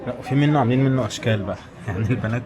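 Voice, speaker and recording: An Egyptian man talks casually to his viewers as a travel vlogger. His delivery is very rapid, at an ordinary male pitch. People can be clearly heard behind him. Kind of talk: casual vlog chat to viewers. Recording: busy crowd noise around the voice.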